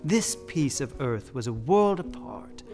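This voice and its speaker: A man's voice: mid-range voice, measured pace, music in the background. A man who performs as a drag queen storyteller, reading and chatting in a dramatic, effusive, campy way. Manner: effusive, campy, often calls listeners "darlings"; dramatic, expressive delivery. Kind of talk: theatrical read-aloud of picture books with chatty asides.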